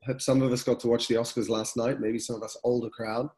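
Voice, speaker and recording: Aussie guy, middle-aged, stuffy nose from a cold, laid-back chatty webinar host, average pitch. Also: quick pace. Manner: relaxed, conversational, reflective. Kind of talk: casual fireside-style webinar talk.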